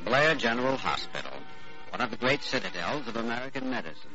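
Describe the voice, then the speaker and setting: An actor playing a solemn, earnest young doctor in a declamatory old radio drama style. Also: male voice, medium pitch, lively intonation, quick pace, natural voice. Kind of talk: 1940s radio drama acting. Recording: dull old radio broadcast recording. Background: loud music.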